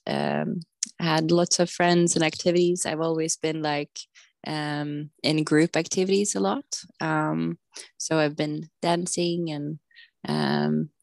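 A woman from southern Sweden, a podcast guest talking casually from home over an online call, thoughtful and unhurried, often pausing to think. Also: on the low side, creaky, darkish tone, dry recording. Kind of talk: casual conversational interview. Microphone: remote online call.

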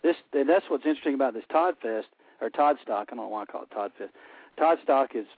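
A man's voice: medium pitch, expressive, quick pace, slightly rough, no room sound. A man with an Alabama Southern accent, calling in and chatting casually on a radio show, folksy and full of fillers. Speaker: caller co-hosting the interview. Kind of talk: casual radio phone chat. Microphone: telephone. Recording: dry, tinny phone line.

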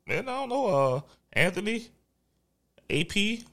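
A man co-hosting a laid-back podcast, speaking with a bright, forward tone. His pitch swings widely as he tells loose, rambling stories. He keeps breaking into laughter between lines. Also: very animated, unhurried. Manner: laughs often, loose storytelling with restarts. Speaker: podcast co-host. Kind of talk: casual podcast banter.

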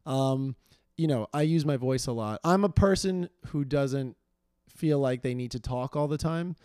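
A hoarse man hosts his podcast, rambling and self-deprecating with lots of fillers. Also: medium pitch, a little slow, a little mellow, dry recording, clean studio sound. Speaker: podcast host. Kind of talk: casual solo intro monologue.

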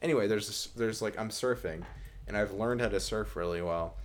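A young American man with a deep voice talks as a podcast co-host. His delivery is casual and comedic, rambling along haltingly with the odd casual swear. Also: a little hiss or hum. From American Midwest.

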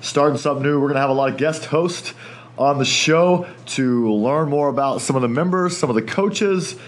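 A man's voice: a little high, moderate pace. Upbeat man hosting a fitness podcast. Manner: upbeat, friendly, laughs easily. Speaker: podcast host. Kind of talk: casual podcast chat.

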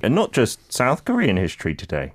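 A man's voice: medium pitch, huge pitch swings, fairly quick. A man hosting a morning radio show in a studio, chatting upbeat with a guest and reading out listener messages. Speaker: radio host.

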